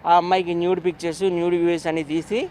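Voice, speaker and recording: A man's voice: sits high, little pitch movement, rapid-fire, darkish tone, a little hiss or hum. A man speaking as an interview guest, giving his opinions emphatically and often repeating phrases. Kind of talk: animated opinionated interview talk.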